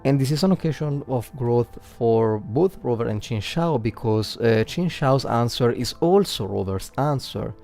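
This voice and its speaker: A man livestreaming game commentary and analysis, thinking aloud haltingly and repeating words as he works out his points. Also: mid-range voice, lively intonation, vocal fry, warm tone, faint music behind.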